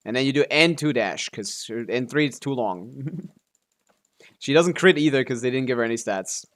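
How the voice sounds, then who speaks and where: A man's voice: medium pitch, normal speed, fairly bright tone. A man live streaming a video game, chatting casually in a laid-back way with some offhand swearing as he comments on play.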